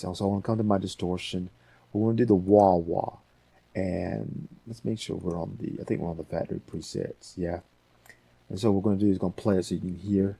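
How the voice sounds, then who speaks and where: A man hosting a casual software tutorial, talking informally and thinking aloud as he goes. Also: deep voice, gravelly, husky, no echo. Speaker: audio editing tutorial host.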